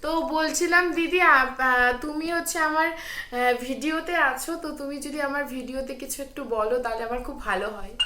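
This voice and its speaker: Female vlogger, chatty and hesitant, high bright tone. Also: brisk, a little hiss or hum. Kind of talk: casual vlog talk to viewers.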